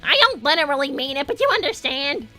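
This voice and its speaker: Young female cartoon hedgehog, extremely high-pitched, piercingly bright, bossy, exasperated, dramatic livestream banter. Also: fast, soft background music, heavily acted. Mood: dry, catty. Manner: animated, indignant, dramatic. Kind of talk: improvised in-character livestream banter, reading viewer messages.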